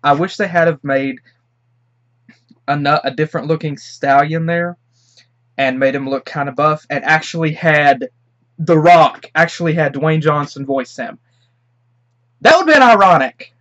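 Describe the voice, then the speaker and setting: A man's voice: a little high, measured pace, pauses often, lo-fi sound. A young man with a head cold, hosting a casual, rambling reaction commentary. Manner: chatty, rambling, excitable. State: sick with a stuffy head cold. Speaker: reaction video host.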